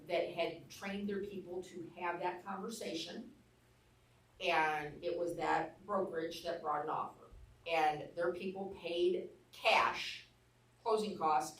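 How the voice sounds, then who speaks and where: A woman with an Arkansas accent teaching a real estate class, telling candid stories in a blunt, down-to-earth way. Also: low voice. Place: training room. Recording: echoey room, mic far off.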